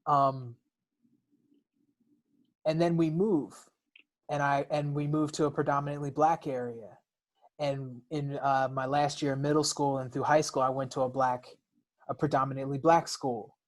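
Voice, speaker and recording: Male podcast co-host, mid pitch, casual and reflective, halting delivery with repeated words. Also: flat delivery. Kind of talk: casual personal conversation.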